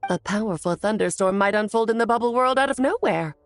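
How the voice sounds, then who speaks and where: An actress playing a playful, self-assured sorceress in a video game, with an arch, genteel, theatrical manner. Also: female voice, medium pitch, quick pace, soft background music, close to an everyday voice. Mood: breezy, confident.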